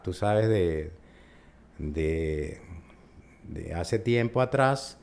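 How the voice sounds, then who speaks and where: A man's voice: low-pitched, darkish tone. An older man with a Venezuelan accent, a urologist guest recounting his career in a conversational interview. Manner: chatty storyteller, recounts at length.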